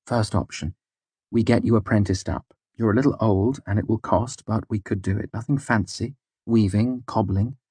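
Storybook role: worldly, exasperated woman, played by a man, raised husky voice, arch and blunt with a caring core. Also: fairly low pitch, fairly even intonation, moderate pace, no room sound, voice pushed up. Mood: brisk, arch. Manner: matter-of-fact, arch, scolding yet gentle. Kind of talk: audiobook character dialogue.

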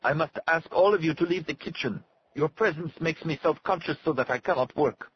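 A man's voice: lowish voice, big pitch swings, normal speed, lo-fi sound, slight background noise, pitched above the performer's own voice. A man voicing an aloof, irritable servant cook who speaks formally and curtly in an audiobook. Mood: cross. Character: aloof, cross alien native servant cook.

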